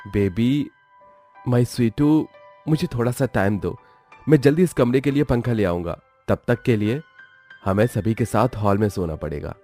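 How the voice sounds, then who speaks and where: Man acting young husband, medium pitch, sincere, pleading, animated domestic story. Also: unhurried, soft background music. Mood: coaxing. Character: earnest young husband from a poor family, pleading.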